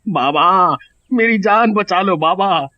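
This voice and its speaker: A man's voice: high voice, slow. A man playing a frightened, pleading village merchant in a cartoon moral story, dramatic in delivery. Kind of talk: animated Hindi moral story acting. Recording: dull lo-fi audio.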